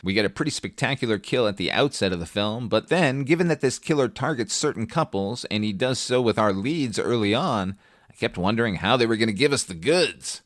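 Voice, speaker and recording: A middle-aged man with a low voice reviews films as a podcast host. His delivery is scripted, wry and deadpan, and the recording is close and dry, free of room sound. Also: a little fast, no room sound. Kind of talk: scripted film review commentary.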